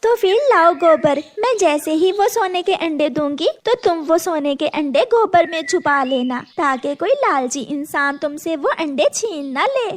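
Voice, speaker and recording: A woman plays a tiny mother sparrow in a kids' cartoon. Her voice is very high and swoops expressively, with a sweet, pleading, coaxing way of talking. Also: animated, measured pace, hardly any pauses. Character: small pleading but clever mother sparrow. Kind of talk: children's cartoon character voice.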